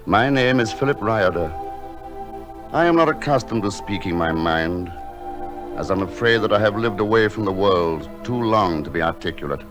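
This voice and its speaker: An actor playing a gentle, misshapen recluse painter, telling his story in formal, poetic, earnest language. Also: lowish voice, animated, moderate pace, natural voice. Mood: grave, reflective. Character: solitary, misshapen painter living in a lighthouse, gentle and wistful. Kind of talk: dramatic narration in an audio drama. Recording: music behind the voice.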